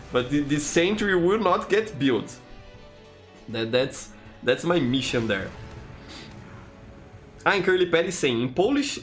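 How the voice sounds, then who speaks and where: A man from Brazil with a Brazilian Portuguese accent, a game streamer chatting casually and reading chat aloud, friendly, with stammered restarts. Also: fairly high pitch. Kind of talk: casual live-stream chat while playing.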